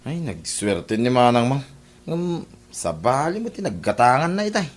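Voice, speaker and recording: A man's voice: mid-range voice, big pitch swings, normal speed. A man playing a put-upon, complaining fish-vendor husband in an Ilocano comedy radio drama, with an Ilocano accent. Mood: teasing. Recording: faint market crowd behind.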